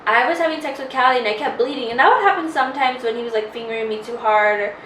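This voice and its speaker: A woman's voice: fairly high pitch, fast talker, fairly bright tone, slight background noise. A woman in her twenties, a podcast co-host, telling a personal story in casual chat with lots of "like" and candid detail. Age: 20s. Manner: candid rambling storytelling, heavy on "like". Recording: roomy, distant mic.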